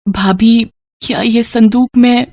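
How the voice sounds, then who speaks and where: A woman's voice: fairly high pitch, fairly even intonation, slow, a little mellow, dry recording, studio quality, voice pushed up. A woman voicing an earnest, wistful young mother and daughter in a sentimental cartoon drama. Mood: tearful, wistful. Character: sentimental grown daughter and mother visiting her childhood home.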